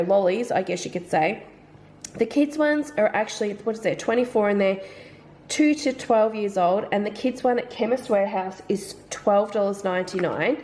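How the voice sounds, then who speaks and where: A woman's voice: medium pitch, a little mellow, slight background noise, low-quality recording. An Australian woman in her thirties, a mum vlogger chatting casually through a product haul, sounding slightly nasal from hay fever and thinking aloud about prices. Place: home.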